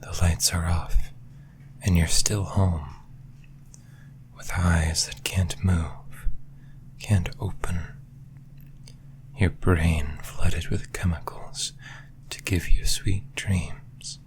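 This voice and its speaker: A man voicing a possessive, taunting yandere demon in a breathy, menacing whisper, in the style of an ASMR roleplay. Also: sits low, steady tone, darkish tone. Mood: hushed, ominous. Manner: slow, menacing, teasing.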